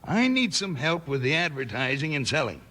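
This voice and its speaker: An actor playing a sly, smug land swindler in a 1950s western radio drama, smooth-talking and scheming. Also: male voice, fairly high pitch, big pitch swings, moderate pace, sharp tone, full character voice. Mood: scheming, self-satisfied. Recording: faintly noisy old radio recording.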